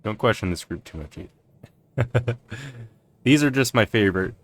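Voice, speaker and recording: Very low-voiced man, low-key gaming commentary. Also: expressive, unhurried. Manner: low-key, rambling thinking aloud. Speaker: game streamer. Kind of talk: casual playthrough commentary. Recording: game music behind the voice. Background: game music.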